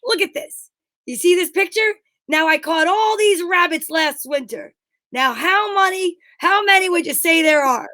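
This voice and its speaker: A woman's voice: very high-pitched, lively intonation, normal speed, pushed and bright, exaggerated voice. A woman playing an exasperated, bossy straight man in an old-time radio comedy sketch, scolding and questioning with disbelief.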